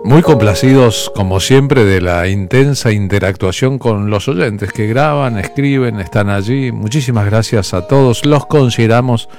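Low-voiced male radio host. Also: normal speed, hardly any pauses, a little mellow, soft background music. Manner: thanking listeners, reading messages. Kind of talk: late-night radio talk.

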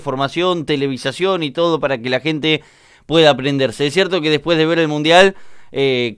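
A man's voice: fairly high pitch, smooth, no echo, clean studio sound. A man with an Argentine accent giving a live sports news rundown as a radio columnist. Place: radio studio.

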